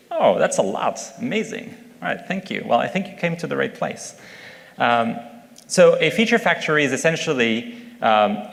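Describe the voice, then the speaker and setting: Man, French accent, conference talk, halting with fillers, audience questions, long hall reverb. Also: mid-range voice, vocal fry, a bit bright. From France. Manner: hesitant, many fillers, engages the audience with questions. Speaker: conference speaker, product manager.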